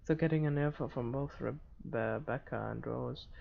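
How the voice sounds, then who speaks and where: A man hosting a gaming video, reading visual novel narration aloud in a halting way with stumbles. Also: mid-range voice, gravelly. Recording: dull lo-fi microphone, faint noise.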